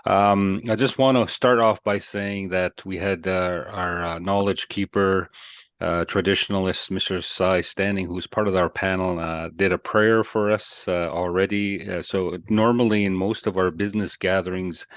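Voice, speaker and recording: A man from Canada co-moderating a webinar panel on a video call, speaking in an unhurried way with many filler pauses. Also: low voice, fairly even intonation, no room sound.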